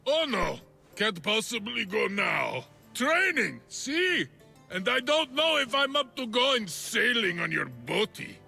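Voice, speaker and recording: Slurry braggy wrestler champ, gravelly, swoops up and down, slow. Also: male voice, very high voice, big pitch swings, sharp tone, soft background music, full character voice. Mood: boisterous. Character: boastful dim-witted male wrestler champion. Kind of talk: video game cutscene acting.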